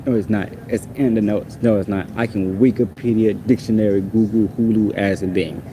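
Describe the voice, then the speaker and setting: A man's voice: on the low side, fairly even intonation, a little fast, noisy background. A man whose first language is Portuguese, ranting casually and with exasperation in a rambling, repetitive way. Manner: exasperated, rambling, repeats himself.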